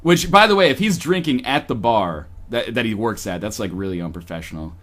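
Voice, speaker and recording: Animated sarcastic man reading aloud. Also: on the low side, fairly quick. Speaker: streamer. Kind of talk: comedic reading aloud with commentary.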